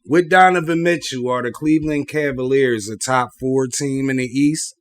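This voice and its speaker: A man's voice: medium pitch, normal speed. A man hosting a casual panel podcast, putting questions to his co-hosts and listeners in slangy, conversational speech. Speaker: podcast host.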